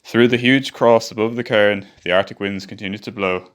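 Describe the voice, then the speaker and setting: A man's voice: lowish voice, fairly even intonation, normal speed, natural voice. A man playing a grave survivor recounting a doomed polar expedition, with solemn, literary radio drama narration. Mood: solemn, mournful.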